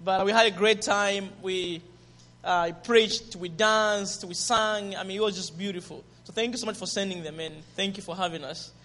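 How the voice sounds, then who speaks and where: A visiting pastor with a Zambian accent preaches to a church with lively, friendly energy. His voice sits high for a man and rings through a reverberant hall. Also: normal speed. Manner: lively and friendly, with call-and-response and laughter. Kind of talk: guest sermon and ministry testimony. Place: church sanctuary.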